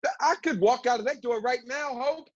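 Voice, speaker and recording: A woman's voice: a little high, brisk, lo-fi sound, lightly acted. A woman playing an exasperated, defiant assistant in a stage play, blunt in manner. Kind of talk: theatrical one-act stage play acting.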